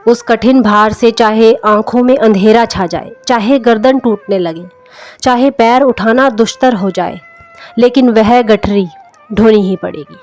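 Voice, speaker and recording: A woman's voice: on the high side, measured pace, a little mellow. A woman reading a literary story aloud as a scripted narration, in the manner of an audiobook storyteller. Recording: music under the voice. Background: background music.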